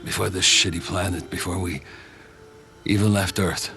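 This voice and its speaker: An actor playing a weary, gruff older mechanic and surrogate father in a video game, plain-spoken like someone telling his story. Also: low-pitched, fairly even intonation, moderate pace, over music. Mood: weary, reflective. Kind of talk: video game cutscene acting.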